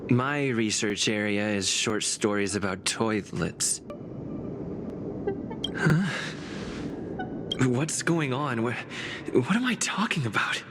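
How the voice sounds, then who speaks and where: A man's voice: mid-range voice, animated, takes it slowly, long pauses, background noise. A man playing a puzzled, earnest young space adventurer in a video game, hesitant in his delivery. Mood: confused. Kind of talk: video game character acting. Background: loud music.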